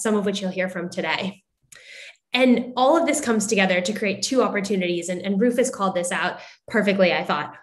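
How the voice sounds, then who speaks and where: A middle-aged American woman from the United States giving an upbeat, articulate prepared presentation as moderator on a video call. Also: medium pitch. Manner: upbeat and articulate, occasional fillers. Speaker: moderator introducing startups.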